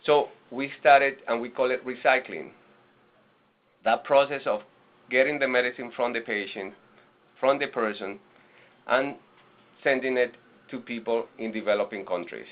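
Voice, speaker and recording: A man from Venezuela, a nonprofit director, presenting a webinar with halting restarts and fillers. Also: mid-range voice. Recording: lo-fi webinar audio.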